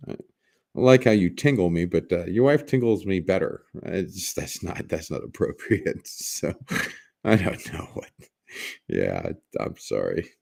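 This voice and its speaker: A man with a raspy smoker's voice hosting a casual livestream, joking with viewers and rambling through restarts with bursts of laughter. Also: sits low, warm tone. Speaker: livestream host.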